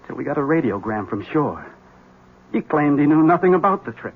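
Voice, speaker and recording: A frantic husband in old radio drama, intense n pleading, husky, muffled old recording. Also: male voice, fairly high pitch, sing-song, fairly quick, full character voice. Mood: insistent. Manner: intense, urgent, insistent. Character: agitated, desperate husband convinced his wife is a witch. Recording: dull lo-fi vintage radio recording.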